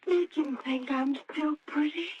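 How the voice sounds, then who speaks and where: An actress playing an anxious, sweetly hesitant young wife in an old-time radio drama. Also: female voice, high-pitched, measured pace. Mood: anxious, pleading. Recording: dull, noisy old radio recording.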